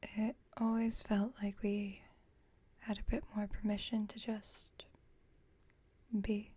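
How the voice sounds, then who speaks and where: Woman as a slow, whispering, calm vampire roommate. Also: mid-range voice. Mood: calm, reflective. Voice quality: soft, breathy whisper. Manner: unhurried, gentle, slightly formal phrasing. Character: calm, genteel, dryly witty female vampire roommate, centuries old. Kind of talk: whispered ASMR roleplay.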